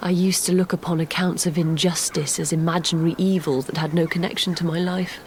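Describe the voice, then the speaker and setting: An actress playing a gentle, grieving young gentlewoman in an audio drama, with earnest, formal, literary speech. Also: lowish voice, flat delivery, a little fast, a little hiss or hum, natural voice. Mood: anguished, bitter. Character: sorrowful, gentle young gentlewoman, steadfast in grief.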